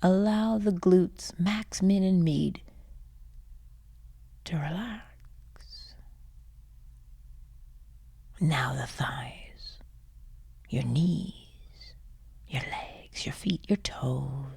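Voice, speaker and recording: A woman leading a guided sleep meditation in a soothing, hushed and breathy voice, leaving long pauses. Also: lowish voice, no room sound. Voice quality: breathy, husky.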